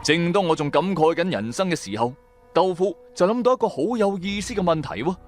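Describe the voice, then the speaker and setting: A man's voice: high voice, expressive, fairly quick, a little mellow, faint music behind. A man playing a shrewd, wry trader who narrates his own adventures in colloquial, sardonic radio-drama style. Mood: wry, animated. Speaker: narrator and lead character.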